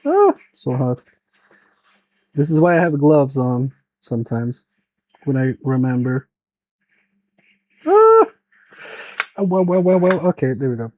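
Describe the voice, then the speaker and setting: A man's voice: medium pitch, wildly swooping pitch, unhurried, pauses often, a little mellow, rough recording. A man with a Swedish accent, thinking aloud and muttering to himself as he repairs a toy on a live stream. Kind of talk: casual live-stream commentary while repairing.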